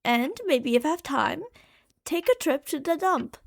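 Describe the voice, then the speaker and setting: A woman's voice: high-pitched, unhurried, vocal fry. A woman playing an impatient, obsessive female mad scientist in an ASMR roleplay, theatrical and condescending. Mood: brisk, evasive.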